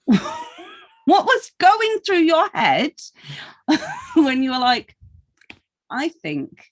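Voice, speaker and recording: A woman interviewing a podcast guest in a casual, conversational style with an informal, teasing manner. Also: fairly low pitch.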